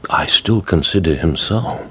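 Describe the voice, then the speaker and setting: Radio drama lead: aristocratic count, deep register, cool, guarded, impeccably courteous. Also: male voice, a bit bright, slight background noise. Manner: measured, formal, reserved.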